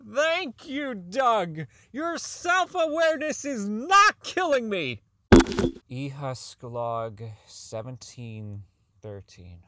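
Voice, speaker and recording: A man playing a socially inept, bitter robotics engineer in an audio drama, rambling and stammering through profane log entries as he slowly comes unhinged. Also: high voice, wildly swooping pitch, pushed and bright, slight background noise, heavily acted. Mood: sardonic. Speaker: voice actor in a fan-made audio drama. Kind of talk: dramatic monologue as a recorded log.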